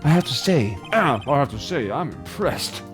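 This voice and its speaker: Elderly game judge, low-pitched, ponderous and halting, acted out by a man. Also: very animated, over music, close to an everyday voice. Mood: grudging. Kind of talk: comic amateur video game dramatic reading.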